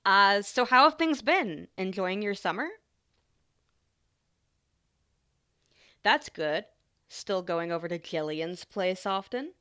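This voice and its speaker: A woman plays a young adult big sister in a casual ASMR roleplay. Her voice sits at a middling female pitch, and she talks informally to her younger sibling, playful, protective and candid. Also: expressive, a little slow, sharp tone, clear, resonant tone. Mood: teasing, warm. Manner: playful, informal, sighs and small laughs. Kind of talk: ASMR roleplay, casual one-sided chat to the listener.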